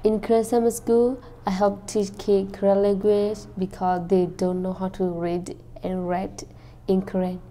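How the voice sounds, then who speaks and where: A teenage girl from Burma reads her personal story as a high school student, in careful, simple phrasing. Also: little pitch movement, measured pace, mellow. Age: late teens.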